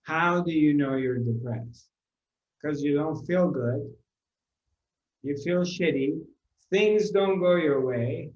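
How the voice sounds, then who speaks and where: Slow-spoken man teaching, roomy recording. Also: on the high side, darkish tone, highs cut off, a little hiss or hum. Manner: unhurried, repeats phrases and asks rhetorical questions. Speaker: spiritual teacher. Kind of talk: spiritual discourse to a live audience.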